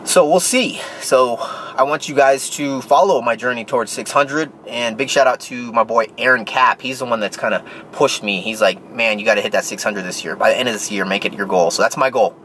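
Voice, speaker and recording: Man, 30s, bright voice, casual and rambling, in a car, faint background hum. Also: medium pitch, a little fast, hardly any pauses. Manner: plain, rambling, many fillers and restarts. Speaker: vlogger, powerlifter. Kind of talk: casual talk to camera. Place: parked car. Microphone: handheld camera close to face.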